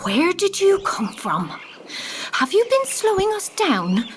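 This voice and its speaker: Casting: cold, shrewd old queen, high, swooping delivery, brisk and haughty, children's radio play, birdsong ambience behind. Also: sing-song, a little hiss or hum, exaggerated voice. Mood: impatient. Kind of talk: children's audio drama acting.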